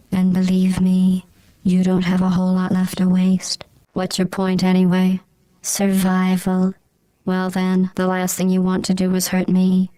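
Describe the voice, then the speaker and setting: A woman voicing a cold, murderous, sarcastic artificial intelligence in a video game, deadpan, with a synthetic voice processed flat and robotic. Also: dry recording, rough recording. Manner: monotone, deadpan, menacing. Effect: robotic pitch-flattened voice processing. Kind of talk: scripted video game villain monologue.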